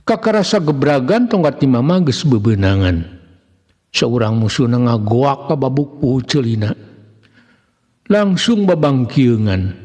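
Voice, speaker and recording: A man with a Sundanese accent narrating a scripted dramatic tale in a formal, measured manner. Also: medium pitch, darkish tone. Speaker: narrator of Sundanese serial tales. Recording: long hall-like reverb on voice.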